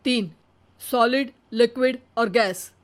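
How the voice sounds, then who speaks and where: Woman playing an overconfident, high-voiced scheming husband. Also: slow. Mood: confident. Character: overconfident, scheming married man, dim but stubborn.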